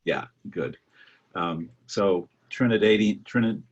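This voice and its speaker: An older man, a physician hosting a webinar by video call, talking haltingly with many fillers. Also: fairly low pitch, expressive, breathy edge, lo-fi sound. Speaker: host, physician moderating a webinar. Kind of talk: conversational webinar moderation.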